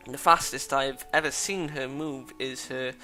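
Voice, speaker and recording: A man's voice: medium pitch, steady tone, measured pace, pushed and bright. A man reading a thoughtful teenage schoolboy's lines and inner narration in a plain, reflective way, with faint music behind.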